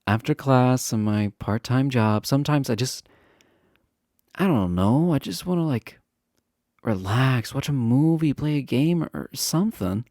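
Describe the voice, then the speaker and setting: Casting: male actor as popular college guy, chatty and teasing, easygoing flirt, unhurried delivery with lively pitch swings, romantic audio roleplay. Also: no echo, studio quality, close to an everyday voice. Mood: friendly, open. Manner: chatty, teasing, hesitant filler-laden casual phrasing. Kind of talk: romantic roleplay audio acting, casual intimate chat.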